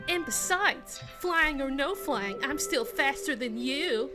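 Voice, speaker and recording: A woman's voice: high-pitched, lively intonation, slow, bright, forceful tone, faint music behind, full character voice. A woman voicing a playful young male dragon who needles his friend in a fan script reading. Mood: cheeky.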